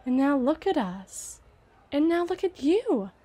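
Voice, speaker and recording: A woman's voice: high-pitched, very animated, warm tone. A woman playing a rich, possessive young heiress who is condescending and doting, with a haughty, teasing manner, in an ASMR roleplay. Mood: smug. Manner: haughty, teasing, drawn-out words. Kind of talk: ASMR roleplay, scripted monologue.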